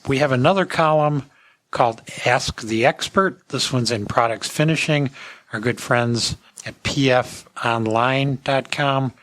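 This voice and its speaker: A man's voice: mid-range voice, unhurried, a bit bright, no room sound. A man hosting a podcast, talking casually in a hesitant, meandering way. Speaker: podcast host.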